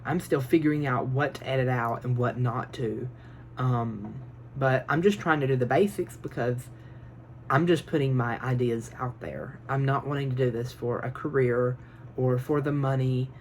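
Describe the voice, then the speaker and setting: A man giving advice in a casual phone vlog from his bedroom, talking nervously and quickly, rambling with lots of fillers and restarts. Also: mid-range voice, steady tone, slight background noise. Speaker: vlogger. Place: small bedroom. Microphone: phone built-in mic, no microphone.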